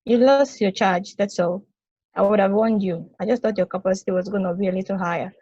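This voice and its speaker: A woman playing a wry, weary, ancient space probe in a stage play table read, speaking in a dry, matter-of-fact way with a husky voice. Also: medium pitch, fairly quick, mellow, no echo, natural voice. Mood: reassuring. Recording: dull, lo-fi close dry recording.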